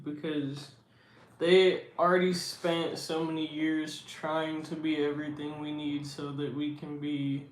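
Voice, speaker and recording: A young man with a baritone voice, chatting slowly and reflectively on his livestream. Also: slight background noise. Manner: slow, reflective and soft-spoken. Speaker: livestream host. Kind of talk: casual livestream chat reading comments. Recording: roomy echo.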